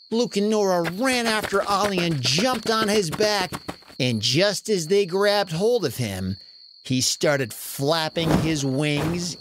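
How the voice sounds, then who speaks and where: A narrator telling a children's story in an animated style with dramatic, drawn-out emphasis. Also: medium pitch, background noise.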